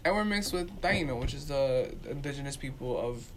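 A man's voice: mid-range voice, expressive, brisk, a little hiss or hum, poor audio. A man talking casually and with opinion, speaking emphatically and repeating his points for stress. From Dominican community.

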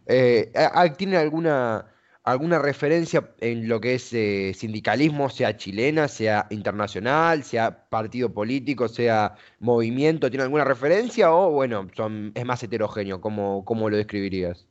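A man's voice: mid-range voice, normal speed, lo-fi sound. A man with an Argentine accent conducting a conversational interview, wordy and often searching for his words. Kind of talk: conversational radio-style interview.

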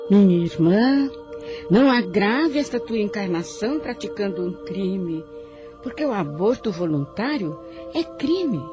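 A female guardian angel acted by a woman speaks solemnly and protectively in a radio play. Her formal, preaching delivery rises and falls expressively, with clear music underneath. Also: medium pitch, lively intonation, unhurried, lightly acted. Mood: solemn, admonishing. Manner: solemn, preaching, formal old-fashioned address. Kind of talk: religious radio drama acting.

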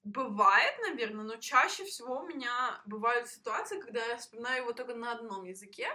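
A woman's voice: fairly high pitch, a little fast, bright, forceful tone. A young woman from Ukraine co-hosting a podcast, chatting casually and animatedly, laughing often. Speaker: podcast co-host. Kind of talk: casual chat.

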